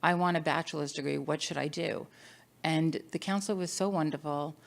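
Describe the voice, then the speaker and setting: A woman's voice: deep voice, fairly quick, gravelly. A middle-aged woman telling her personal story as an alumni panelist in an emphatic, storytelling way. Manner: storytelling, emphatic, quotes herself.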